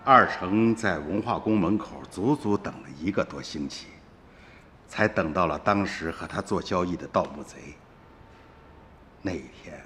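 A man acting a stern, anguished older uncle in a radio drama, speaking emphatically with drawn-out emotion. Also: deep voice, fairly bright tone, slight background noise. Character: stern, anguished older uncle scolding and narrating past events. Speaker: storyteller character in radio drama. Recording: long studio reverb, music underneath.

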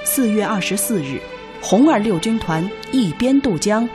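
A woman's voice: mid-range voice, lively intonation, normal speed. A woman giving formal, solemn scripted narration for a radio drama. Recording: loud music under narration.

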